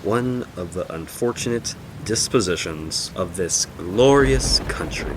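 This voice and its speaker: An actor playing an eccentric older professor in a radio play, crisp and theatrical. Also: male voice, low-pitched, lively intonation, measured pace, slight background noise, poor audio. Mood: exuberant. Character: eccentric older British male professor, brisk. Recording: dry close studio sound.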